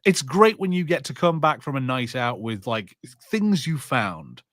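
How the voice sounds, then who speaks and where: A man co-hosting a podcast, telling casual anecdotes in a halting, rambling way and often repeating words. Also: medium pitch, big pitch swings, a bit bright, no room sound, clean studio sound. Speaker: podcast co-host. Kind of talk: casual chat and personal anecdotes.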